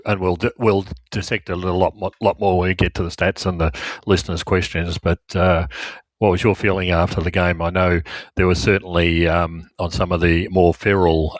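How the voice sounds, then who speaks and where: A man's voice: low voice, no room sound. A man with an Australian accent hosting a casual sports podcast. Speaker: podcast host.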